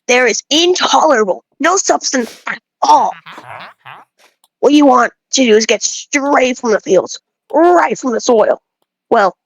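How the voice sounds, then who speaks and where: A woman's voice: sits high, no room sound, rough recording. A woman reading aloud as an opinionated, folksy male bread enthusiast who rambles and grumbles through game dialogue. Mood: opinionated, boastful.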